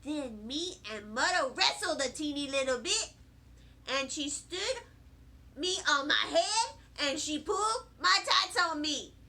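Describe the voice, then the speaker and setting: A woman reading a children's book aloud in an animated, deliberate storytelling manner. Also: sits high. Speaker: storybook reader. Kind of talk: expressive children's book narration.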